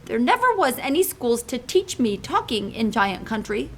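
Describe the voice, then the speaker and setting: A woman's voice: high-pitched, wildly swooping pitch, a little slow, creaky, a bit bright, a little hiss or hum, voice pushed up, heavily acted. A woman reading aloud and voicing a kindly, forlorn old male giant who mangles his grammar and invents words. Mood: wistful. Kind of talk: storybook read-aloud.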